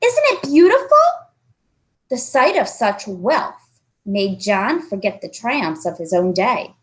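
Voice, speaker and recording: A woman reading a children's story aloud as an expressive narrator. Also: medium pitch, slow, low-quality recording. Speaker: storybook reader.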